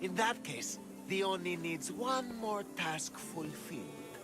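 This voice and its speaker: Jealous lover for a video game: high, very animated, haughty and sneering, music behind. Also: male voice, slow pace. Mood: scornful. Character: jealous, lovesick young man giving mercenary orders. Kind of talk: video game dialogue acting.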